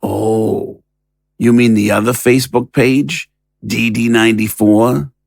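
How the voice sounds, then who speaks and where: A man acts out a talking dog with a crude mouth and a sneering, needling manner. He sounds blunt and taunting, in a medium-pitched male voice with a dry, close sound, as in an audio drama. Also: steady tone, takes it slowly, vocal fry, darkish tone, no echo. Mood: sly, needling.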